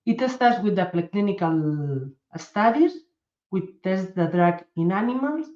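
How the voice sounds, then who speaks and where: A woman's voice: mid-range voice, animated, measured pace, warm tone, echoey room, highs cut off. A woman with a Spanish accent giving a medical talk, carefully restarting phrases as she searches for English words. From Spain. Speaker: pharmaceutical medical director presenting. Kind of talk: conference presentation over video call.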